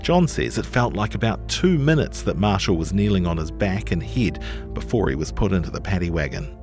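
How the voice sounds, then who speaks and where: A man with a New Zealand accent reading scripted journalistic narration for a podcast. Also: fairly low pitch. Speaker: narrator of a long-read podcast.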